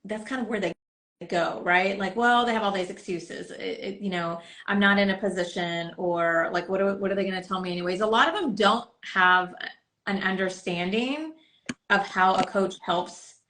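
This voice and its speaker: A woman's voice: medium pitch, normal speed, a bit bright. A woman coach hosting a casual live stream chat on a desktop podcast microphone, talking in a rambling way with many fillers. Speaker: host and coach.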